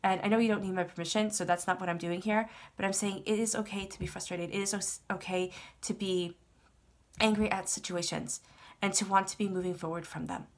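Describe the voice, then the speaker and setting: A woman giving a tarot reading to her viewers, her voice congested and stuffy from a cold. She speaks at a middle pitch in a calm, reassuring way, circling back to the same phrases. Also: fairly quick. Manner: soothing, reassuring, repeats phrases. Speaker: tarot reader. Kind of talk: tarot reading monologue to camera.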